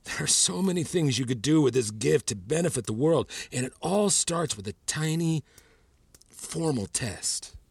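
A man's voice: a little high, animated, normal speed, creaky, slightly rough, dry recording, exaggerated voice. An actor playing a persuasive, patronizing male professor and official in an audio drama, speaking articulately and coaxingly. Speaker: actor in a fiction podcast.

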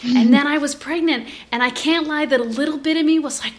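A woman's voice: high-pitched, brisk. A woman guest speaking frankly and casually, swearing and laughing as she talks. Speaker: guest, performer. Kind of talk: casual interview.

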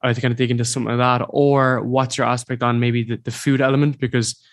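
A man's voice: mid-range voice, little pitch movement, quick pace. A man hosting a podcast in a casual interview style. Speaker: podcast host.

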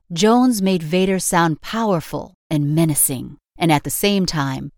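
A woman reading scripted podcast narration in a measured, deliberate way with emphatic phrasing. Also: low-pitched, a little mellow, dry recording, clean studio sound. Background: light background music.

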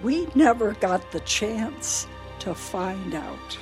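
An actress playing a fretful, grieving older wife in a radio play, plaintive and emotional. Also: female voice, mid-range voice, very animated, takes it slowly, a little mellow, soft background music. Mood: bitter, insistent. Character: fretful, grieving elderly wife, clinging to memories.

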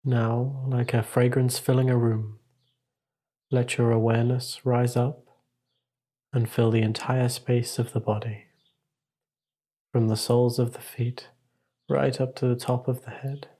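A male meditation teacher guides a practice in a calm, measured voice. His delivery is even and nearly level in pitch, with a slightly husky grain. He leaves long pauses between short instructive phrases.

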